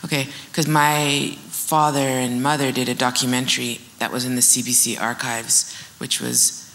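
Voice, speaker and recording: Bright-voiced woman moderating, some background noise. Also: extremely low pitch, flat delivery, moderate pace. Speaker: panel moderator. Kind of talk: informal panel moderation with personal reflection. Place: indoor event stage.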